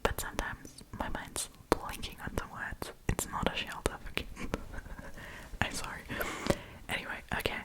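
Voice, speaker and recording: A woman's voice: measured pace, low-quality recording. A woman whose first language is German whispers close to the listener in an Australian accent. She chats loosely through an ASMR storytime, filling gaps with "like" and stopping to hunt for words. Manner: whispering, chatty, many "like" fillers, searching for words.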